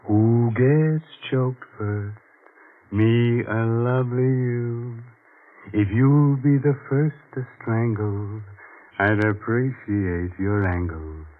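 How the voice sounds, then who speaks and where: An actor playing a gleefully macabre 1940s radio horror host, droll and mock-sinister, on a muffled old recording. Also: male voice, mid-range voice, takes it slowly, slight background noise, close to an everyday voice. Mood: mock-singsong macabre.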